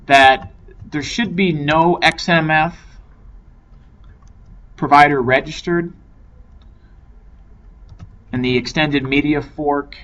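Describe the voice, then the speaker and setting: A man giving a measured, step-by-step software tutorial as an instructor. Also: medium pitch. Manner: measured, explanatory step-by-step delivery. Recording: dull lo-fi microphone with clear noise.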